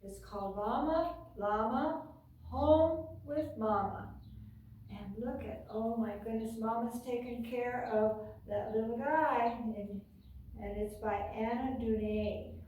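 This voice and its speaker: An American woman reads a storybook to small children in a high, expressive voice. She takes her time and slips in simple asides to her listeners. The sound is distant and very echoey, picked up across a bare room. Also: slow pace, slight background noise. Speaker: children's librarian and storytime host. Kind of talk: reading a picture book aloud to young children, with chatty asides. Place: indoors, bare carpeted library room with painted wall. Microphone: camera several metres away.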